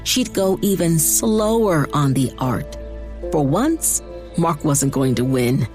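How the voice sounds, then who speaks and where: A woman reading dramatized fiction narration in a measured way with deliberate emphasis. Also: sits low, big pitch swings, over music. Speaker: audiobook narrator.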